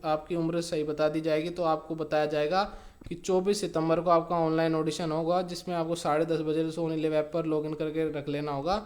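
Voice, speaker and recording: A man giving an informal step-by-step explainer as a tutorial host, repeating and stressing key instructions. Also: fairly high pitch. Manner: repetitive, step-by-step instructing with emphasis on key words.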